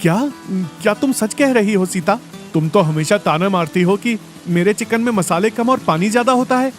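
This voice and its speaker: A man voicing a kindly middle-aged village food-stall keeper in a cartoon story, gentle and reassuring. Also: high voice, fairly quick, faint music behind, natural voice. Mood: astonished.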